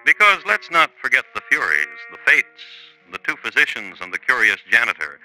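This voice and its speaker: Wry, sharp-voiced vintage radio crime narrator. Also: male voice, medium pitch, big pitch swings, heavily acted, poor audio. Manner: wry, theatrical, deliberate. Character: wry, ominous male narrator of true crime tales. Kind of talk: 1950s radio drama narration. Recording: vintage 1950s radio, faint music.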